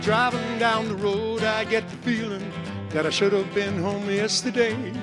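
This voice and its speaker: A man's voice: high voice, fairly even intonation, a little slow. A man singing a country folk song and leading a congregation in a singalong. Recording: echoey hall with long reverb. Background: loud guitar music.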